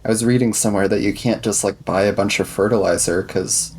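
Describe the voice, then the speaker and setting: A man's voice: lowish voice, steady tone, brisk, a little mellow, a little hiss or hum. A man improvising casually in character as a player in a tabletop roleplaying game. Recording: music bed behind the voice.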